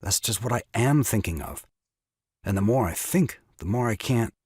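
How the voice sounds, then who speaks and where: A man's voice: fairly low pitch, lively intonation, normal speed, darkish tone, slightly rough, dry recording, studio quality. A man playing a flustered, indignant young new father in a comic stage farce, blustering and exclaiming. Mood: astonished bluster.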